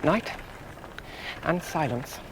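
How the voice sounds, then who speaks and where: A young british army officer, higher voice, up and down a lot, earnest and jittery, old radio play. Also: male voice, animated, very slow, background noise, heavily acted. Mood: uneasy musing. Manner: earnest, precise, musing, self-reassuring. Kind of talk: 1970s radio play monologue.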